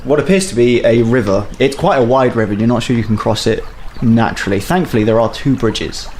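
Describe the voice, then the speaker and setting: A man running a tabletop game as game master, narrating in a measured, wry way. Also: medium pitch, a little mellow, a little hiss or hum.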